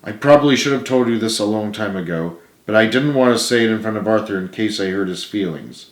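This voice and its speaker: A man's voice: fairly low pitch, brisk, lightly acted. A man voicing an earnest, remorseful, loyal male friend in a storybook reading, emphatic and pleading. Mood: consoling. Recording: roomy, echoing room sound.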